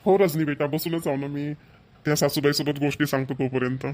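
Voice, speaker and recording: A man's voice: fairly high pitch, fairly even intonation, fairly quick, darkish tone, close to an everyday voice. A man playing a doting, proud middle-aged village father in a cartoon, with a rural Maharashtrian Marathi accent and earnest, homely manner. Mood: fond. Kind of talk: Marathi cartoon family comedy voice-over.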